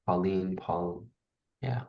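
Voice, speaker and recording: Deep voiced guy calling names slowly on a video call, plain and brief, kinda muffled audio. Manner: matter-of-fact, brief. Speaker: class organizer. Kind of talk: calling on participants one by one.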